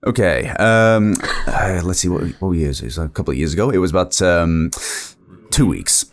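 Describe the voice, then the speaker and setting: A man's voice: deep voice, lively intonation, a little fast. A man chatting casually and informally, a voice actor speaking as a guest, with a modest manner. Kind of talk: casual chat.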